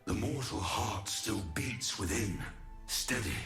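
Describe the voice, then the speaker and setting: An actor playing a noble, tormented ancient warrior in a video game, speaking solemnly in measured, poetic phrases. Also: male voice, on the low side, animated, fairly bright tone, faint music behind. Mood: solemn, resolute. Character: noble, tormented ancient male warrior resisting dark corruption. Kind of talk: scripted video game character lines.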